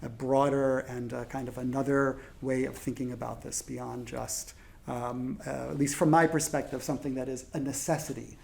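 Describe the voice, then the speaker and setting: A man in his forties speaking as a translator on a panel, halting, with many fillers and restarts. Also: medium pitch, little pitch movement, creaky, darkish tone. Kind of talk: panel discussion.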